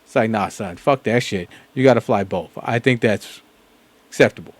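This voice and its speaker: A man's voice: on the low side, normal speed, dry recording. A man from the United States hosting a reaction video, talking in a loose, slangy way with lots of fillers and restarts. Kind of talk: casual reaction commentary.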